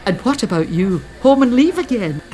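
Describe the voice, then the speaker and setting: Woman voicing a quietly emotional mother. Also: fairly high pitch, sing-song, moderate pace, far from the mic, faint music behind, voice pushed up, low-quality recording. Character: middle-aged Scottish woman, emotional but warm-hearted.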